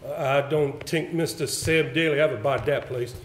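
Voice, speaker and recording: A curt, secretive country innkeeper, acted by a man on stage, pitched high. He answers guardedly in an echoey hall with faint background noise. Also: steady tone, normal speed, close to an everyday voice. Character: reticent rural innkeeper, curt and guarded about local secrets. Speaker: amateur stage actor. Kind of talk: live stage play acting. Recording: echoey hall, stage play recording with hiss.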